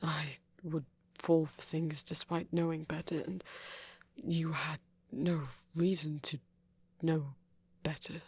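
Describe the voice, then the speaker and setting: A tender male vampire played by a voice performer speaks very softly and breathily. He takes his time, tentative and wounded, letting phrases trail off with little rise or fall, in an intimate ASMR roleplay. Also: mid-range voice, level tone, takes it slowly, husky, dry recording, exaggerated voice. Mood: wistful, hurt. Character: soft-spoken wounded young male vampire, tender and unsure.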